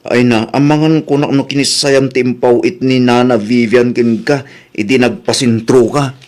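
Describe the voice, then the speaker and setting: A man's voice: mid-range voice, steady tone, normal speed, a little mellow, lightly acted. A man playing a suspicious, nagging village husband with an Ilocano accent, questioning and accusatory, in a comedy audio drama.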